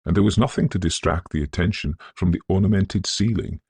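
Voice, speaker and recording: Male narrator for Victorian ghost fiction: extremely deep, creaky, scholarly delivery with theatrical, measured phrasing. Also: darkish tone, dry recording.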